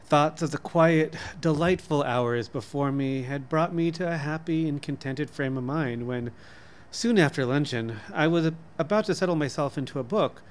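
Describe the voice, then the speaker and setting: A middle-aged man reading a short story aloud, haltingly, sometimes stumbling over words. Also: on the high side. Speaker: reader. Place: small office indoors. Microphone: desk microphone, close.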